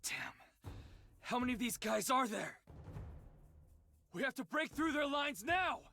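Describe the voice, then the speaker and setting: A man's voice: very high-pitched, lively intonation, measured pace, vocal fry, pushed and bright, slight background noise, heavily acted. An actor playing an urgent young male fighter in a fantasy video game, sounding strained. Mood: urgent, alarmed.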